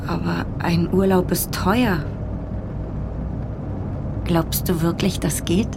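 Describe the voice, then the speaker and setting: A woman's voice: on the low side, steady tone, takes it slowly. An actress playing a timid, considerate wife and mother in a radio drama, talking in an everyday conversational voice. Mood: gentle, cautious. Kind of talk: German radio drama acting. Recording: clear car noise behind.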